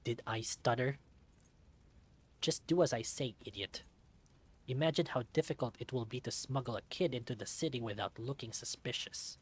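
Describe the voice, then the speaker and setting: A man's voice: medium pitch, moderate pace, breathy edge, natural voice. A man voicing a villainous creature boss in a visual novel, cold, commanding and impatient. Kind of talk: visual novel character acting.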